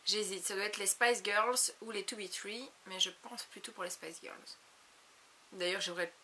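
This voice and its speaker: A woman in her late twenties chats casually in a vlog, reading each question aloud before answering it. Her voice is bright and lively, set at an average pitch for a woman. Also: normal speed, slight background noise. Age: late 20s. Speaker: YouTube vlogger.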